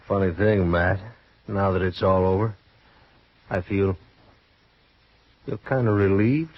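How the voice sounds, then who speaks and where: An actor playing a drunk, wounded gambler in an old western radio drama, husky and halting, with a rueful manner. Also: male voice, low-pitched, steady tone, no room sound. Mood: wry, relieved. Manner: slow, halting, rueful chuckling. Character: wounded gambler gunslinger, drunk, bitterly relieved. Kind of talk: 1950s western radio acting. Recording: dull, dry old radio recording.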